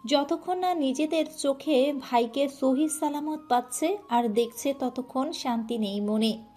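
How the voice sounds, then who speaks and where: A woman's voice: sits high, measured pace, dark tone. Woman narrating an emotional story.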